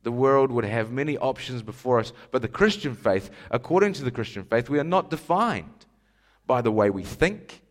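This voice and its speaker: A man's voice: medium pitch, expressive, normal speed. A man from Scotland, preaching a sermon in an emphatic style with rhetorical questions. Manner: emphatic rhetorical questions, rising to stressed lists.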